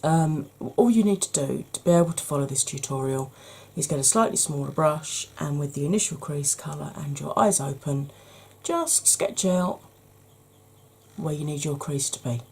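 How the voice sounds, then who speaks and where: A woman with a low, gravelly voice talks through a makeup tutorial, hoarse from hay fever. Her tone is mellow and husky, and she chats casually to the viewer, with plenty of ums along the way. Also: moderate pace. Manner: chatty, addresses viewer directly, frequent ums.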